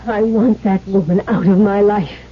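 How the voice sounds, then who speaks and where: An actress playing a possessive, ruthless ghostly mistress, commanding and intense in a 1970s radio drama. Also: female voice, medium pitch, fairly even intonation, normal speed, husky, lightly acted. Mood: cold, demanding. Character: possessive, ruthless spectral mistress demanding to be bride. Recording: dull, noisy vintage radio recording.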